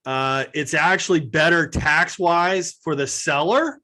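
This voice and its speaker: A man's voice: fairly high pitch, a little slow, bright, forceful tone. A man answering questions as host in a casual, conversational way, explaining things through anecdotes. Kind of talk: casual question-and-answer talk on a video call.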